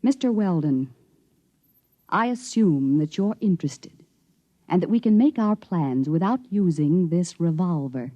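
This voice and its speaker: An actress playing an ambitious, coolly persuasive scientist, formal and insistent, in a 1950s radio drama. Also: female voice, fairly low pitch, animated, measured pace, mellow, dry recording. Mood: insistent, controlled.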